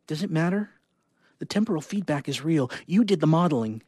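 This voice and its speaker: Guy doing serious scientist husband in a scifi story, tender but grave, bit husky. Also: a little high, animated, a little fast, a little mellow, dry recording, studio quality. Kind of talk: dramatic short story reading.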